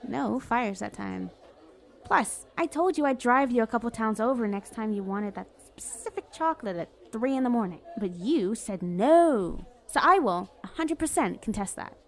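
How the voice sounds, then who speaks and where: A woman's voice: fairly high pitch, lively intonation, moderate pace, creaky. A woman playing a flirty, self-justifying villain in an ASMR roleplay, talking in a casual, playful, sardonic way. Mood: defensive. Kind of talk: acted ASMR roleplay monologue.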